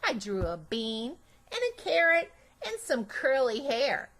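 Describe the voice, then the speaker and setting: A woman voicing a chatty, imaginative, bossy little girl in an animated children's storybook read-aloud, with childish, emphatic phrasing. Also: on the high side, measured pace, full character voice. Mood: chatty, pleased.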